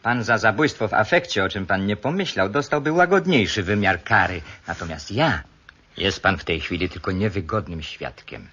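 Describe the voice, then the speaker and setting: A suave, cold-blooded schemer from a radio play. His voice sits low and has a husky grain, and he speaks with smug condescension. The recording is dull and muffled. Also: lively intonation, normal speed, pushed and bright, heavily acted. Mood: cold menace. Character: cold, self-pitying scheming murderer, clinic-owning husband. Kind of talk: radio drama acting.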